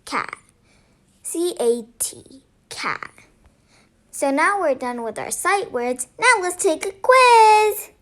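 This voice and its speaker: A woman's voice: very high-pitched, animated, takes it slowly, fairly bright tone. A female presenter giving children a spelling lesson, saying single words and spelling them out. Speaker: sight word presenter.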